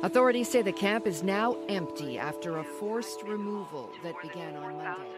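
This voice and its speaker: A woman news reporter delivering a formal, crisp report. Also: fairly low pitch, expressive, moderate pace, loud music behind the voice.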